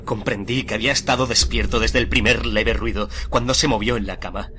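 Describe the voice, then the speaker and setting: An actor playing a nervous, obsessive murderer confessing his crime in a theatrical, deliberate radio drama monologue. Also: fairly low pitch, fairly even intonation, slight background noise. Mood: hushed, sly. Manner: theatrical, deliberate, swelling from hushed to frenzied. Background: music under the voice.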